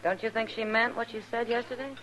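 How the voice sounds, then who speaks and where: A woman's voice: on the high side, level tone, fast, fairly bright tone, lightly acted, rough recording. An actress playing a frank, concerned frontier woman in a 1950s radio western, talking in a familiar, conversational way. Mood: concerned, teasing.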